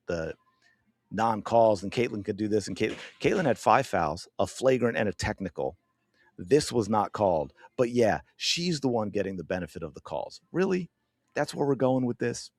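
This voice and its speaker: A man hosting a sports podcast who gives opinionated commentary in an emphatic, animated way while addressing his viewers directly. His voice is going hoarse. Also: lowish voice, no room sound, studio quality. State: losing his voice.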